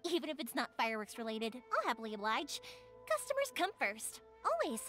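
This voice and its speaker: An actress playing a bubbly, talkative, kind-hearted young fireworks maker in a video game, chatty and excitable. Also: female voice, a little high, brisk. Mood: reassuring. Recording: music playing behind the voice.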